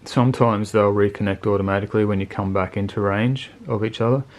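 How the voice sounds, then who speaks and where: A man's voice: on the low side, steady tone, quick pace. A man giving a casual, unscripted product review in a laid-back, colloquial, plain-spoken way. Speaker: motorcycle video channel host reviewing gear.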